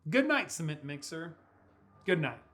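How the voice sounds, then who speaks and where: A young man reads a picture book to children. His voice sits high for a man and swings widely in pitch. He takes his time, stretching words out in a playful, expressive style. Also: a little slow. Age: young adult. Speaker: pastor reading to children. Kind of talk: animated children's storybook read-aloud. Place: small furnished room indoors.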